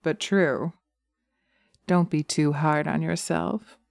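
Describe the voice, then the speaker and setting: Woman acting as a seductive witch, lowish creaky voice, dominant and taunting, intimate ASMR hypnosis delivery, dry close binaural sound. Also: steady tone, no echo, exaggerated voice. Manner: sultry, slow, hushed, often dropping to a whisper. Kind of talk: slow hypnosis roleplay, ASMR. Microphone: close binaural microphone.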